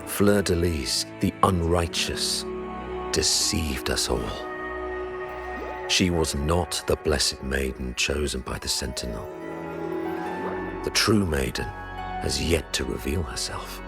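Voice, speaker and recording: Very deep, solemn religious leader. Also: male voice, slow, creaky, long pauses, full character voice. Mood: grave, confessional. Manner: solemn, oratorical, formal diction. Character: grave, commanding male religious leader, solemn and zealous. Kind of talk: dramatic video game voice acting. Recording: loud orchestral music behind. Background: loud game music.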